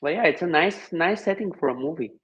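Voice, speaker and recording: A Bulgarian-accented man with a fairly high voice talks as a guest in an informal conversation. He phrases things thoughtfully and haltingly in a second language, and the recording sounds a bit dull and lo-fi. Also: animated, fairly bright tone. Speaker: podcast guest. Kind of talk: casual conversation.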